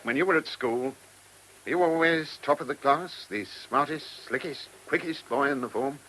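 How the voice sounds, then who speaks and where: A man's voice: on the high side, normal speed, bright, forceful tone, rough recording. A man with a British accent playing a dry, shrewd chief inspector in a radio drama, wry, clipped and questioning. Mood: brisk, wry. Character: dry, shrewd male police chief inspector, teasing superior. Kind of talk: mid-century British radio drama acting. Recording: old radio recording with faint hiss.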